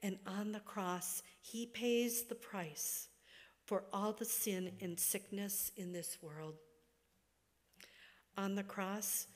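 A middle-aged or older woman preaching a sermon from a church pulpit, phrase by deliberate phrase, letting sentence ends trail away. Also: mid-range voice. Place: church sanctuary, at a wooden pulpit. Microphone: gooseneck pulpit microphone. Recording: long reverberant church hall.

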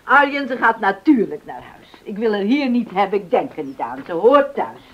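A woman's voice: mid-range voice, very animated, moderate pace, dull audio. An older actress playing a practical, kindly island mother in a radio drama, motherly and no-nonsense. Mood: firm.

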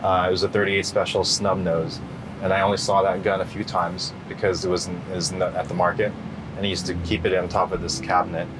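A middle-aged man answering interview questions in a relaxed, rambling way, full of fillers and restarts. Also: sits low, fast talker, noisy background. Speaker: interview guest. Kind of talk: casual interview.

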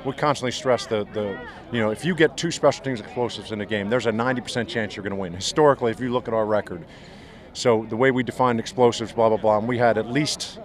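A middle-aged man, a head football coach, giving a postgame interview outdoors on the field, talking fast and restarting his sentences often. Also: medium pitch, fairly even intonation. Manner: fast, rambling, many restarts. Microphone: handheld mic held by interviewer.

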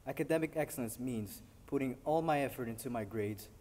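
A teenage male cadet from Rwanda giving a measured, formal speech on an auditorium stage. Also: mid-range voice, a little mellow. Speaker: military academy cadet. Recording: echoey hall, distant mic.

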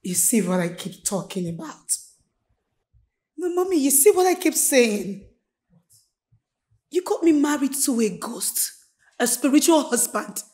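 A woman's voice: high-pitched, big pitch swings, a little slow, pauses often, darkish tone. A young woman playing a desperate, defiant daughter with a Nigerian accent in an emotional film drama. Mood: pleading. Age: young adult. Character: desperate, defiant young daughter married off against her will. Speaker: actress.

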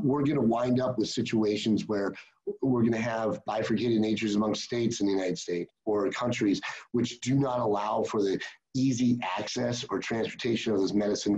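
A man's voice: on the low side, warm tone, lo-fi sound. A man in his forties speaking as a panelist over a video call, making earnest, formal points about regulation. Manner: earnest, formal policy talk.

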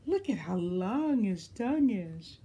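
A middle-aged woman reading picture books aloud to children in an expressive, animated storytelling style. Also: medium pitch, takes it slowly, husky. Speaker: library storytime reader.

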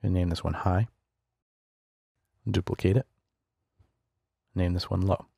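A man narrating a screencast software tutorial in a casual, explanatory way, close to a studio microphone. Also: sits low, steady tone, unhurried, vocal fry, slightly rough, dry recording. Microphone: close studio voice-over microphone.

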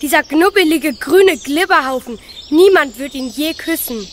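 A woman playing a young fairy-tale princess, high voice, dramatic, faint outdoor background. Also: lively intonation, moderate pace, background noise. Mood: furious. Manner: wailing, dramatic. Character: weepy then furious young princess at the well. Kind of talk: children's radio play.

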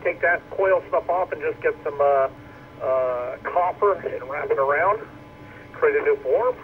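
A man's voice: on the high side, measured pace. A man talking casually over two-way radio as an amateur operator, giving practical technical advice. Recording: dull lo-fi radio audio, loud noise.

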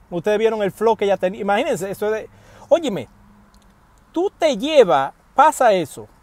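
A man delivers casual news commentary from the driver's seat of a parked car, his voice pitched fairly high. He talks animatedly and appeals straight to his viewers. The sound is dry and close, picked up by a wired earphone mic. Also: no echo. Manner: animated, addresses viewers directly, emphatic pleas.

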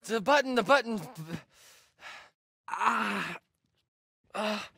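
A man's voice: sits high, drawn-out words, creaky, sharp tone, husky, no echo, studio quality, exaggerated voice. A young American man playing a nervous, geeky, wry camp counselor in a video game, with a hesitant, stammering way of talking. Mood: anxious. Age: young adult. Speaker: video game character actor. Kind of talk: naturalistic video game dialogue.

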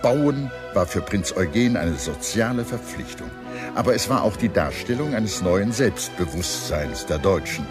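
A man reading a scripted history documentary in a measured, expressive storytelling manner. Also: deep voice. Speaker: documentary narrator. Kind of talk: scripted documentary narration with dramatized quotes. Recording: music under the voice. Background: background music.

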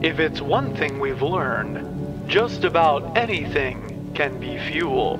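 A man narrating a scripted dystopian story in a measured, dramatic manner. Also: fairly high pitch, lively intonation, over loud music, poor audio.

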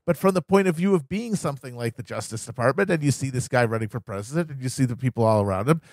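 A man's voice: medium pitch, expressive, quick pace, darkish tone, no echo. An American man co-hosting a podcast, riffing wryly in casual political comedy chat. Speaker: podcast co-host.

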